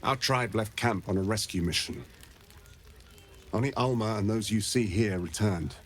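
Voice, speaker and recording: A man playing a grave, weary monster hunter in a video game, measured and guarded. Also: lowish voice, a little hiss or hum, natural voice. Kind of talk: video game character acting.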